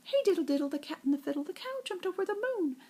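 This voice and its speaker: An older woman with a breathy, husky voice reads nursery rhymes like a grandmother, in an expressive singsong storytelling way. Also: high voice, rapid-fire. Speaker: grandmother reading to children.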